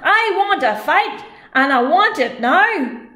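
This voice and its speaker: Woman putting on a loud, bullying giant man, roaring. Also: high-pitched, big pitch swings, pitched above the performer's own voice, exaggerated voice. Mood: roaring challenge. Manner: loud, slow, blustering. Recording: roomy echo.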